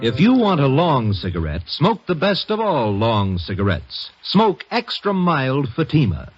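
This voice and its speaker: A man announcing a 1950s radio commercial in an emphatic selling manner, with a husky edge. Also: mid-range voice, huge pitch swings, a little slow, faint music behind. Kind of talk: 1950s radio advertising pitch. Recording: dull old radio recording.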